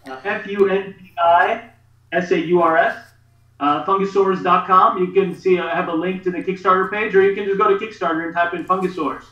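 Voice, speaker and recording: A man's voice: high-pitched, fairly even intonation, brisk, bright, forceful tone. A man in his thirties from Pakistan, talking earnestly with many fillers as a guest on a video call. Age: 30s. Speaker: guest, artist promoting a campaign. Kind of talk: casual video call interview. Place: home studio room. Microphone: webcam on a video call. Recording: roomy, dull video call audio.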